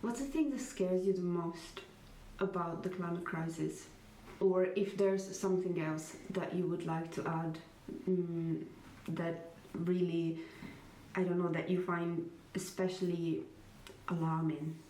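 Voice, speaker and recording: A woman interviewer who asks her questions gently and lets them ramble. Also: on the low side, fairly even intonation, measured pace. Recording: echoey room, distant mic, faint noise.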